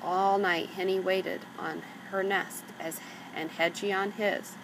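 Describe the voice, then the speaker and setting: A woman reading a children's story aloud in an expressive narrating style. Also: mid-range voice, takes it slowly. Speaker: storybook reader. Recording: clearly noisy background.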